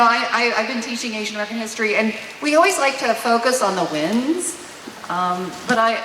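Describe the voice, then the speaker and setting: A woman, a history teacher, asking an earnest, halting question from the audience at a panel. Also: mid-range voice, sharp tone, slight background noise. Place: auditorium panel event. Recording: roomy hall, mic at a distance.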